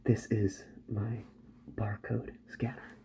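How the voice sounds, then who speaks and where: A man doing a soft-spoken ASMR roleplay as a calm, polite doctor who repairs robots, murmuring gently and often dropping into a whisper. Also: fairly low pitch, vocal fry, slight background noise. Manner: gentle, slow, murmured reassurance to the listener.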